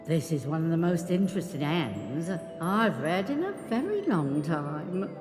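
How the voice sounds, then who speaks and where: Lady doing a wizened old fortune teller woman, hushed and spooky, low and slow. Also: sing-song, reverberant, long reverb tail, over music. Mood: portentous. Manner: hushed, portentous.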